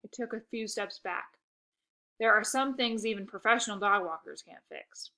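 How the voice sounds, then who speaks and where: A woman reading a children's book aloud in an expressive narrating style. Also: mid-range voice, moderate pace. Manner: expressive, occasional stumbles over words. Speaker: storybook reader.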